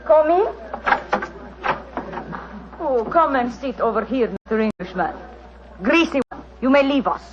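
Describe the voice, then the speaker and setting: An actress playing a guarded, haughty young cafe singer with a foreign accent, curt and suspicious, in 1940s radio style. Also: female voice, sits high, lively intonation, unhurried, long pauses, heavy background noise. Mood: inviting. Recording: muffled old radio recording.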